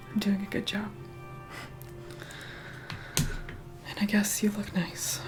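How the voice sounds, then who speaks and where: A woman's voice: mid-range voice, natural voice. Whispering, begrudging female vampire acted by a woman. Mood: grudging. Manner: hushed, begrudging, bossy. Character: reluctant, haughty female vampire begrudgingly helping with makeup. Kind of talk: whispered ASMR roleplay.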